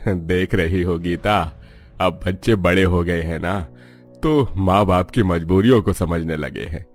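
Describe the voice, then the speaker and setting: A man's voice: sits low, takes it slowly, faint music behind. A man voicing a poor, tender, weary middle-aged father in a cartoon story, fond and reflective. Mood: fond, rueful.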